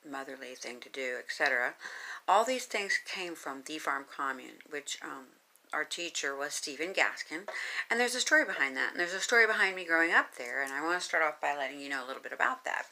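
Older woman, sixties, low voice, bright tone, rambling casual storytelling, phone at arm's length, small room. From United States. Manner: rambling, many ums and you-knows, animated hand gestures. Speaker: vlogger telling her life story. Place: small carpeted bedroom indoors. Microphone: phone camera at arm's length.

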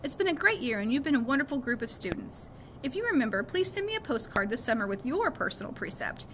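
A woman's voice: sits high, very animated, quick pace, background noise. A middle-aged woman voicing an appreciative male English teacher's farewell note in a storybook, cordially read out. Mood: warm farewell.

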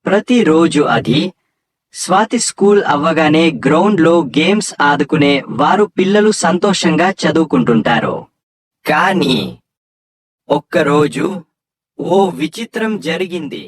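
A man's voice: fairly high pitch, animated, a little slow, no echo. A man narrating a children's story from a script, as a storyteller.

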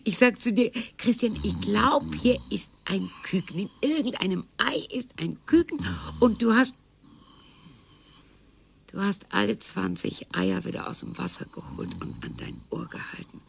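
A sarcastic retiree's wife. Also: female voice, medium pitch, wildly swooping pitch, measured pace, creaky, a little hiss or hum, heavily acted. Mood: reminiscing. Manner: sarcastic and dismissive. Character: sharp-tongued older wife of a bored retiree. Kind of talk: radio play acting.

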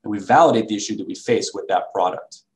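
A man's voice: fairly low pitch, quick pace, a little mellow. A man presenting for a medical device company in a sales talk over slides, recorded on a video call indoors.

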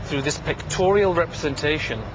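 A Scottish man presenting on a city street, teasing and quick-witted with passers-by. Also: on the high side, highs cut off, background noise. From Scotland. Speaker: TV presenter interviewing people on the street. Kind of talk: playful street interviews. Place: busy city street outdoors.

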